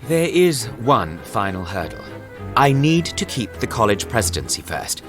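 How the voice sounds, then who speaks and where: Low-voiced, shrewd senior physician. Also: male voice, very animated, unhurried, music in the background. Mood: cautious. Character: cautious, shrewd senior physician, quietly dry political ally. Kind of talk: British radio drama acting.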